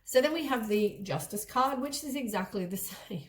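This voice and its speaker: A woman's voice: a little high, expressive, normal speed. A woman with a stuffy, congested voice from a head cold, giving a casual tarot card reading. She rambles and often corrects herself mid-sentence. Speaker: tarot reader.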